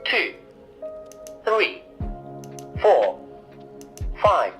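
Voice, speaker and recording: A man's voice: sits high, big pitch swings, drawn-out words, fairly bright tone, faint music behind. An automated male voice giving short channel prompts through a small radio speaker. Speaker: device voice assistant.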